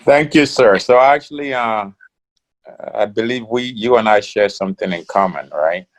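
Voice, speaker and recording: A middle-aged man from Ghana, a guest in an interview, talking in a relaxed way with many fillers. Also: lowish voice, moderate pace, rough recording. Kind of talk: casual interview talk.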